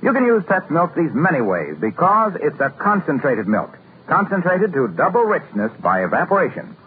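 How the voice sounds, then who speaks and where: Animated 1950s radio announcer, tinny old recording. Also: male voice, a little high, normal speed, slight background noise.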